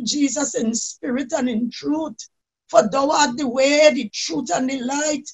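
Casting: high-pitched worship leader, fervent spontaneous prayer, impassioned and imploring. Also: fairly bright tone, poor audio. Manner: impassioned, pleading, repeatedly calling on God. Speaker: worship leader praying aloud.

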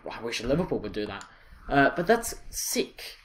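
A young Scottish man giving casual, excitable commentary over a football video game, chatty and full of fillers and self-corrections. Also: mid-range voice, normal speed. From Scotland. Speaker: gaming channel host.

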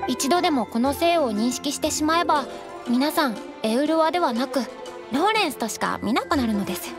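A kindly young tavern waitress in an anime fantasy game. She has a high voice and speaks in an earnest, measured, courteous way. Faint music plays underneath. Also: expressive. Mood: serious, explanatory. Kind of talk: Japanese anime game voice acting.

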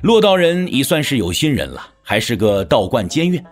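A man narrating a martial-arts novel as a dramatic audiobook, with a measured, expressive storyteller's delivery. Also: medium pitch. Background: background music.

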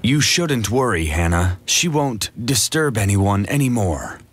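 A man's voice: fairly low pitch, very animated, a little slow, slight background noise. An actor playing a mild, middle-aged male inventor in a video game, speaking politely with a hesitant manner. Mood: reassuring. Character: mild, apologetic male inventor and anxious husband.

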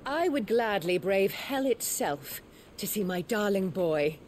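An imperious duchess, played by a woman, speaks with formal, icy hauteur. Her pitch moves widely in this fantasy game role, over faint ambient noise. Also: expressive, unhurried, darkish tone, close to an everyday voice. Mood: regal. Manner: formal, haughty. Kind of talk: scripted video game drama.

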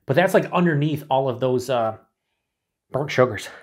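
A man's voice: medium pitch, normal speed. Chatty, enthusiastic middle-aged man reviewing beer. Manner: chatty and enthusiastic, swears, drops to breathy whispers while sniffing. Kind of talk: casual solo review to camera. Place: small room indoors.

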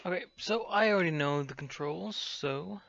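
A man's voice: on the high side, normal speed, vocal fry, fairly bright tone, muffled recording, slight background noise. A man playing a role-playing game, giving short replies in character. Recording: game music behind the voice.

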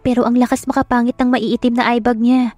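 A woman's voice: fairly high pitch, level tone, a little fast, darkish tone, dry recording, soft background music, close to an everyday voice. A woman voicing a gossipy bridal stylist who murmurs in dismay, in an audio drama. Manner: exclaiming, murmuring.

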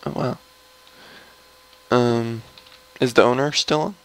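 A young man with a low voice, rambling casually through gameplay commentary at his computer. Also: fairly even intonation, low-quality recording. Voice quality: low, gravelly voice. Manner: slow, mumbly and rambling, trailing off. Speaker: gamer streaming a mining session.

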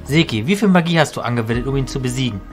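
A man acts a calm, concerned mentor of mages in a fantasy radio play. His voice sits at a middle pitch and sounds measured and reassuring, with music underneath. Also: close to an everyday voice. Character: busy, reassuring male mentor of illusionist mages. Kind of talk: amateur German fantasy audio drama acting.

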